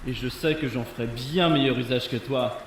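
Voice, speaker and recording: A man's voice: medium pitch, normal speed, lightly acted. A man playing a smug, taunting rival villain doctor in an audio drama. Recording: distant, echoey, faint noise.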